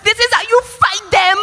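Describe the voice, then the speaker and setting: A vain social media influencer, put on by a woman, squealing very high and bright. She gushes, shrieks and fake-cries in a melodramatic livestream show. The voice rings with a long reverb. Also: exaggerated voice. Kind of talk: comedic livestream parody.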